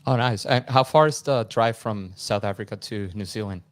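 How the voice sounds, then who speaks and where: A young man with a strong Venezuelan Spanish accent hosts a casual podcast chat. His voice sits low and sounds smooth and resonant. He asks quick, easygoing questions and laughs freely. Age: 20s. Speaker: podcast host.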